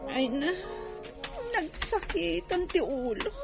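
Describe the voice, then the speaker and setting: An actress playing a whiny, defiant and emotional young runaway daughter in a radio drama. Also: female voice, extremely high pitch, big pitch swings, slow pace, gravelly, heavily acted. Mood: irritated. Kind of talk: Filipino radio drama acting. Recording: music behind the voice.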